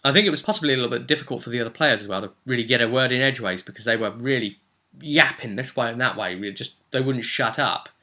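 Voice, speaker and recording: A man hosting as game master, casually recounting a role-playing session with emphatic, animated storytelling. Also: medium pitch, quick pace. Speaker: game master and vlog host.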